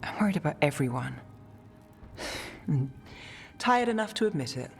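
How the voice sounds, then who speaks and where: A man's voice: fairly high pitch, sing-song, normal speed, vocal fry, a little hiss or hum. A man playing a weary, worried city investigator in a video game cutscene, dry and guarded with a clipped delivery.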